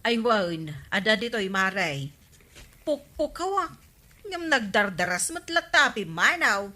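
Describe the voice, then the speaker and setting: A woman's voice: fairly high pitch, big pitch swings, unhurried, bright, forceful tone, heavily acted. A woman playing a chatty, gossipy villager in a Filipino radio comedy drama, with an Ilocano accent. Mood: alarmed.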